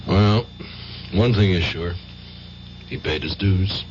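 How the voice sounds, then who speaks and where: An actor playing a world-weary, matter-of-fact man offering gruff comfort in a radio drama. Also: sits low, takes it slowly, a little mellow. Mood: resigned. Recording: dull old radio recording, faint noise.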